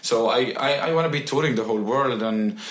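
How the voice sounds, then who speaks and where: Man speaking English, halting. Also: medium pitch, a bit bright, echoey room. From Egypt. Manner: halting, with frequent restarts and fillers. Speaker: musician guest. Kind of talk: interview answers.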